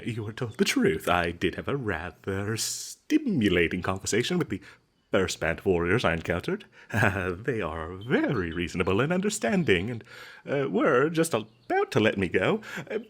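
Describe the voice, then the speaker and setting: Man playing a glib, nervous adventurer with swooping intonation. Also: on the low side. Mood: glib, evasive. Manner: hesitant, stammering, ingratiating, flowery and polite phrasing. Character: smooth-talking captured adventurer, nervous, flattering, glib male trespasser. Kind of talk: comedic fantasy audio roleplay, scripted monologue.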